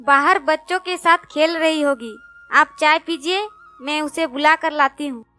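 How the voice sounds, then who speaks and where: Storybook wife: woman acting gentle, caring, softly coaxing, high-pitched voice. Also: measured pace, faint music behind. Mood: gently coaxing.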